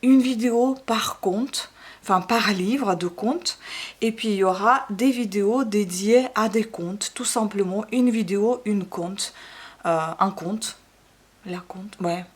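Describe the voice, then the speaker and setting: A woman's voice: mid-range voice, takes it slowly, breathy edge. A woman whose first language is not French, hosting her channel and casually presenting her storybook collection, with frequent fillers and self-corrections. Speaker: channel host.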